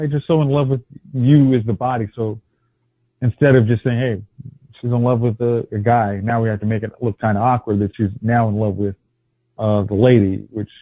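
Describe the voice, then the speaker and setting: A man chatting casually as a podcast co-host, rambling and halting, often restarting his sentences. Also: medium pitch, dry recording. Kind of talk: casual chat. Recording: dry, tinny phone-like audio.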